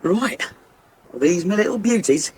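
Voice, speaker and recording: A man's voice: high-pitched, lively intonation, a little slow, a little mellow, a little hiss or hum. An actor playing a cheeky, needling, touchy countryman in a radio soap. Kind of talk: naturalistic radio soap acting.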